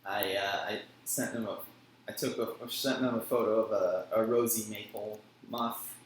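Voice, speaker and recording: A man describing something in a casual chat, halting and searching for his words. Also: lowish voice, steady tone. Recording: very echoey and distant, faint noise.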